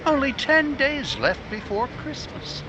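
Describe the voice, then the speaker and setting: An actor playing a friendly, concerned newspaper reporter in a 1950s-style radio drama. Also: male voice, very high voice, expressive, measured pace, highs cut off. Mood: cheerful surprise. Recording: noisy, traffic sounds behind.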